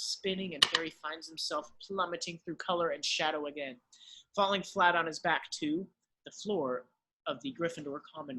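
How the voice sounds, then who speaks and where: A man narrates screenplay stage directions in a scripted read-through. His voice is fairly high and bright, with a husky grain. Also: rough recording.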